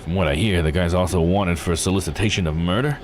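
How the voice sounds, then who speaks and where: A man voicing a gruff, world-weary police detective in a visual novel, with a casual, grumbling manner. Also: low-pitched, quick pace, a little hiss or hum, voice pushed down, lightly acted.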